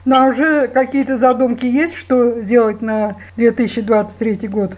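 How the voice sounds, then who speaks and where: Higher-voiced woman radio host. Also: steady tone, normal speed, a little mellow. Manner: polite, prompting questions. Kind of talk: radio interview and outro.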